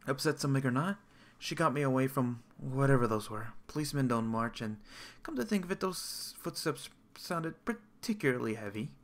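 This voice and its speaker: A man's voice: mid-range voice, animated, normal speed, hardly any pauses. Man reading a story aloud expressively. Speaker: visual novel player reading aloud. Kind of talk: dramatic read-aloud narration.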